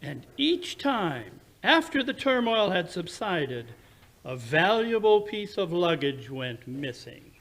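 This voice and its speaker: A man playing a brisk, analytical, self-assured English gentleman detective in an old-time radio drama, with precise, formal, theatrical speech. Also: high voice, sing-song, exaggerated voice. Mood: keen, commanding.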